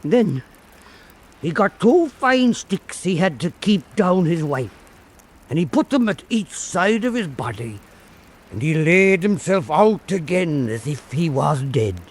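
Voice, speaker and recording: A man playing an old Irish island storyteller, telling an eerie fireside tale in a deliberate, theatrical way for radio drama. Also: on the high side, very animated, breathy edge, heavily acted. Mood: eerie, measured.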